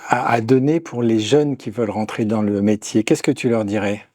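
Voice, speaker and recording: A man's voice: lowish voice, steady tone, moderate pace, a little mellow, no room sound, studio quality. A man interviewing a chef, putting short, direct, informal questions.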